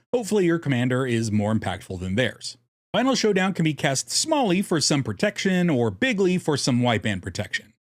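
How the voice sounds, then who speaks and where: A man's voice: a little high, no room sound, clean studio sound. A man narrating a scripted strategy guide in a jokey, irreverent way, casually swearing as host. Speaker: host of a card game deck guide.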